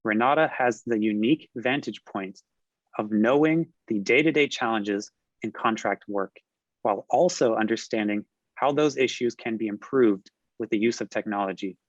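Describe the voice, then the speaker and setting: A man moderating a business webinar, smoothly reading scripted announcements and introducing the speaker. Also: on the low side, moderate pace, no room sound, dull audio.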